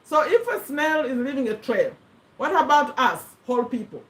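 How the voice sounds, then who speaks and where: A woman's voice: high voice, animated, moderate pace, poor audio. A woman in her fifties from Uganda, talking casually to camera, rambling and correcting herself as she goes. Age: 50s. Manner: rambling, self-correcting, earnest. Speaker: vlogger. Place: USA.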